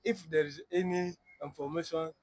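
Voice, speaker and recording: A man interpreting between guest and host, relaying slowly with halting pauses and fillers. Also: a little high, fairly even intonation, a little mellow. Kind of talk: interpreting in conversation.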